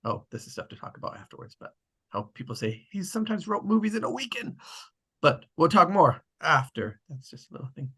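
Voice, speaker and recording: A man with a slightly husky voice, casually hosting an online table read and narrating the stage directions. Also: on the high side, very animated, fairly quick, pushed and bright. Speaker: host and stage-direction reader. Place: online video call.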